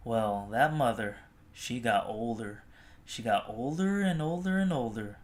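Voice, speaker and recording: Slow, animated storybook reader. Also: mid-range voice. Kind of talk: expressive children's storybook read-aloud.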